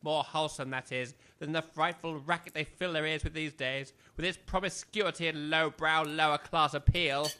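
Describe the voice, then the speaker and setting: A retired English army major in a comic audio drama. He is pompous and quick to bark orders, with a forceful, cutting voice set a little high. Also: fairly even intonation, clean tone. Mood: pompous, polite. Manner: pompous, blustering, barking orders.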